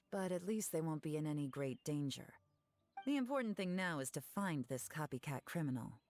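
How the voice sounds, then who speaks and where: Low-voiced, shrewd woman investigator. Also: a little mellow. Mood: analytical, confident. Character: confident, shrewd, teasing adult female investigator. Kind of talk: video game voice acting, storytelling and deduction.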